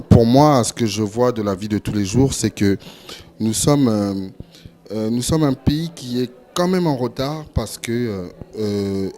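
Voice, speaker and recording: A young man from France speaking on a discussion panel, halting and full of hesitations and repeated words. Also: mid-range voice, dark tone. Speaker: panel speaker, event organizer.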